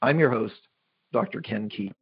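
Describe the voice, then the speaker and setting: A man hosting a podcast, closing the show with slow, deliberate phrasing and fillers. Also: fairly low pitch, creaky, highs cut off, soft background music. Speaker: podcast host. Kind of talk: podcast interview and outro.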